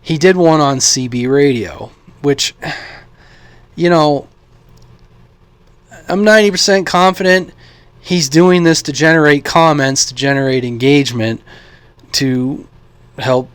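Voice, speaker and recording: A man from the United States talking casually and unscripted as a radio hobby vlogger, halting and thinking aloud. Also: fairly high pitch, smooth, dry recording. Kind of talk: casual unscripted vlog talk.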